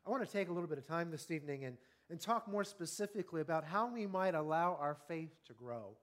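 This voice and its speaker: A man in his fifties preaching an evening sermon, earnest and conversational, often asking the congregation questions and slowing down on key words. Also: on the high side, lively intonation, a bit bright. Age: 50s. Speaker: pastor.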